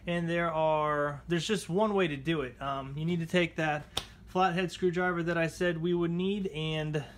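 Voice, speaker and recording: A man's voice: fairly high pitch, fairly even intonation, normal speed, a little hiss or hum. A man hosting a casual repair tutorial, chatting informally as he talks viewers through the steps. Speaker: how-to channel host. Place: indoors at a table at home.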